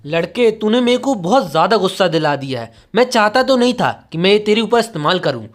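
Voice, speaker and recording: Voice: mid-range voice, big pitch swings, fairly quick, lightly acted. A narrator voicing an arrogant, menacing male sword fighter who taunts and threatens. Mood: angry threat.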